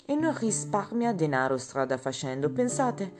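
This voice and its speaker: A woman's voice: on the low side, big pitch swings, moderate pace, voice pushed up. A woman voicing a chatty, proud young French manservant with eager, talkative bursts, over guitar music. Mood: confiding. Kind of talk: audiobook character voice. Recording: guitar music playing underneath.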